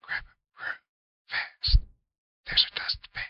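A man voicing a grumpy, balding older uncle who snaps and teases in a gruff manner, in a storybook reading. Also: high-pitched, lively intonation, a little slow, a bit bright, no room sound, lo-fi sound, pitched above the performer's own voice. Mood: hushed whisper.

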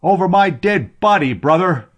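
Pompous bard, played by a male reader: high-pitched, loud, indignant, exclamatory fantasy audiobook character. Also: animated, normal speed. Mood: outraged. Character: outraged male harpist bard, shrill and pompous.

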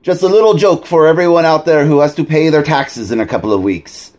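A man's voice: on the high side, brisk, darkish tone, close to an everyday voice. A man playing a theatrical horror-host doctor, telling a scripted joke in a hammy, announcer-like manner. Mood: wry, presenting. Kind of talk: scripted comic joke segment.

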